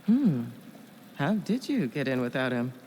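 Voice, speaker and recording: An actress playing a calm, pragmatic woman of authority in a video game, speaking in a measured way. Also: extremely low pitch, a little hiss or hum, full character voice. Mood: curious, questioning. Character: calm, pragmatic female cult gatekeeper with authority.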